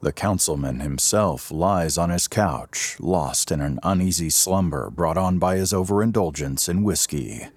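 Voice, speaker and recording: A man's voice: deep voice, animated, unhurried, few pauses, slightly rough, dry recording. A man narrating an audio drama in a scripted, storytelling style.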